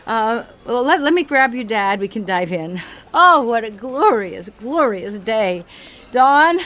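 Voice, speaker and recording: Gushing, excitable older mom, higher-pitched. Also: female voice, a little slow. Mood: bubbly, effusive. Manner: excitable, repeats words, gushing. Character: gushing, effusive mother of adult children. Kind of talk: comedy audio drama acting.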